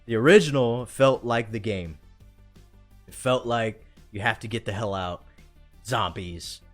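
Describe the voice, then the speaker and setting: A man's voice: fairly low pitch, measured pace. A man hosting a live stream in casual chat, enthusiastic and slangy, with music behind him.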